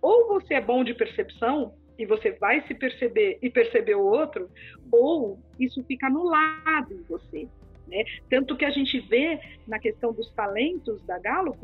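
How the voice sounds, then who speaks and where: A woman with a Brazilian Portuguese accent, a podcast guest explaining communication and empathy, animated and sometimes acting out other people. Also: fairly high pitch, moderate pace, breathy edge. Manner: animated, acts out quoted people. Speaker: speech therapist guest. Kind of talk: podcast conversation. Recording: phone-like lo-fi audio with faint music.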